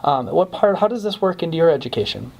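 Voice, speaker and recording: A man's voice: on the high side, animated, quick pace, gravelly, darkish tone. A man hosting a weekly interview series, chatty and casual, with lots of fillers and restarts. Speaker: host.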